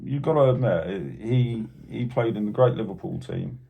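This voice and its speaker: A man's voice: on the low side, normal speed, vocal fry, phone-quality sound, a little hiss or hum. A Scottish man co-hosting a casual football chat, blunt and teasing in his opinions. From Scotland. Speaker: podcast co-host.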